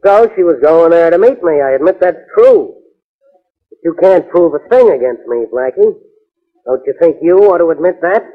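Cocky blackmailer from a 40s radio crime show, bit high pitched, smug, dull old audio. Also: male voice, lively intonation, moderate pace. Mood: defiant. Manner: defiant, smug. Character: cocky, shifty male blackmailer in crime drama. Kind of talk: 1940s radio drama acting. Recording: muffled 1940s radio recording.

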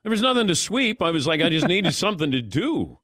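A middle-aged man hosts a sports radio show with a lively, swinging delivery. He tells stories about himself in a relaxed, self-deprecating way. The studio mic sounds close and dry. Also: on the high side, a little fast, dry recording. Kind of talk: casual radio talk with callers and crew.

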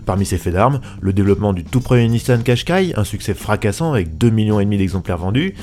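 A man's voice: fairly low pitch, animated, fast talker, dark tone. Enthusiastic man hosting a car podcast. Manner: enthusiastic, stammers and restarts a lot. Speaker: podcast host, car journalist. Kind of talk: podcast interview.

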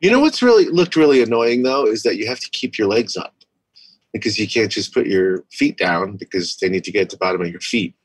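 A man co-hosting a casual podcast, cracking goofy deadpan jokes. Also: lowish voice, a little fast, slightly rough, no room sound. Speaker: podcast co-host. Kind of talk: casual chat.

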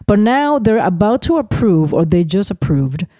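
A woman from Puerto Rico co-hosting a casual chat podcast, impassioned and talking in rapid bursts. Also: medium pitch, big pitch swings, mellow, dry recording, studio quality. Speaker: podcast co-host.

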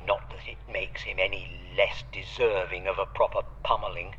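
Over a dull, hissy radio link comes a loyal butler, acted by a man, formal and dryly sardonic. His voice is fairly low yet bright and crisp-edged, protective beneath the wit. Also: level tone, moderate pace. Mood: dry, wry. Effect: radio filter. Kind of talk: audio drama acting. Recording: band-limited radio link with noisy hiss.

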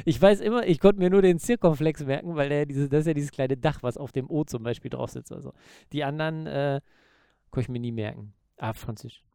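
A man's voice: on the high side, normal speed, vocal fry, warm tone, clear, resonant tone, no echo, clean studio sound. A man co-hosting a podcast, chatting casually in loose, joking banter. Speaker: podcast co-host. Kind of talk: casual chat.

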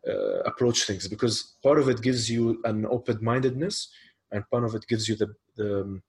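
A male host in a casual talk, speaking in a measured, diplomatic way and reading out listener questions. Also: on the low side, animated, darkish tone. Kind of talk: casual conversational interview.

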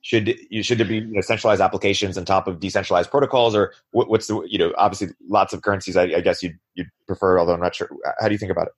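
A man's voice: low voice, fairly even intonation, rapid-fire, few pauses, breathy edge. A man interviewing a guest, asking questions with stumbles and hedges. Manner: stammering, hedging questions.

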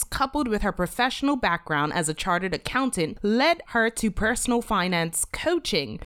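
A woman from Bermuda who hosts a podcast, reads an introduction and asks questions in an upbeat, welcoming way. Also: mid-range voice. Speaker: podcast host. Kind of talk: podcast interview, scripted introduction.

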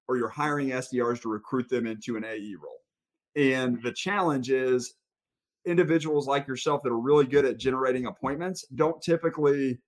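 A man hosting a podcast, laying out his views in a thoughtful, conversational way. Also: medium pitch. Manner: thoughtful, lays out opinions at length. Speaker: podcast host. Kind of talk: conversational interview.

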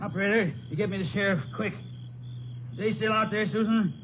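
Radio western rancher, played by a man: rustic American Southern drawl, teasing, higher-pitched, muffled vintage sound. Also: steady tone, brisk, lightly acted. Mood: urgent. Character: folksy Texas rancher husband, teasing, stubborn. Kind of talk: 1950s radio western acting. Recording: noisy muffled old radio recording.

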